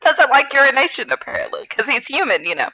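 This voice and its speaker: A woman's voice: sits high, wildly swooping pitch, quick pace, pushed and bright, dry recording, poor audio. A woman co-hosting a podcast, giggly and wry as she chats and reads aloud for comedy. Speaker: podcast co-host. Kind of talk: comedic read-aloud of fan fiction with casual chat.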